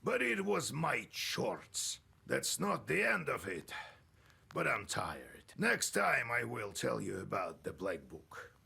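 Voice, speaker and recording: A gravelly old vengeful sorcerer. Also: male voice, medium pitch, huge pitch swings, pushed and bright, heavily acted. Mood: storytelling. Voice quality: gravelly, raspy. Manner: slow, dramatic, cursing storytelling. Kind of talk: video game voice acting.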